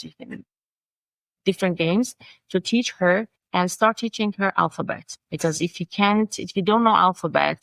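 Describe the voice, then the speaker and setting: Gravelly low-voiced Persian-accented woman. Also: no echo, low-quality recording. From Iran. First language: Farsi. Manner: halting, searching for words, frequent restarts. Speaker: guest, designer and language coach. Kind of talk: casual interview conversation.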